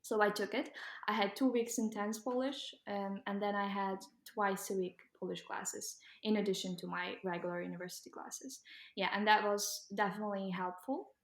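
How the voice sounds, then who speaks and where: A young Ukrainian woman with a Ukrainian accent, answering interview questions casually with many pauses and ums. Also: mid-range voice, echoey room. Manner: hesitant, many ums, laughs while talking. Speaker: guest.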